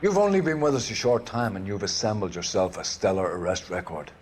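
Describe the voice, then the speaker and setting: An actor playing a stern, grandiloquent older police captain in a video game, preaching with paternal menace. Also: male voice, lowish voice, lively intonation, a little hiss or hum, lightly acted. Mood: grand, probing. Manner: grandiloquent, preachy, deliberate phrasing. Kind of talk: video game cutscene acting.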